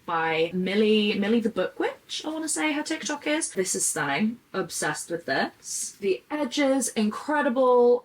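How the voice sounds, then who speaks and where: A woman's voice: a little high, low-quality recording. A woman chatting casually and enthusiastically as she shows off books, gushing in the manner of a book vlogger. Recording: clear background noise.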